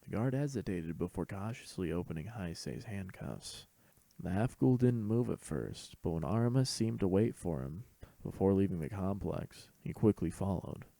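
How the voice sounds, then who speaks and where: A man's voice: sits low, expressive, moderate pace, vocal fry, dark tone, no room sound. A man reading scripted fan fiction as the narrator of an audio story.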